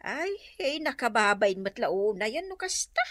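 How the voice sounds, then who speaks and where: An easily scandalized Ilocano gossip for radio comedy. She exclaims and questions in a high, animated voice, recorded dry. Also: a little slow, no room sound.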